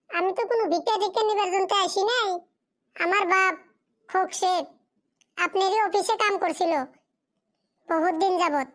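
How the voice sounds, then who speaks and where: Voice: very high voice, pushed and bright. A performer voicing a poor, humble village child in a cartoon drama, pleading in a rural Bengali dialect. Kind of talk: amateur cartoon drama dialogue.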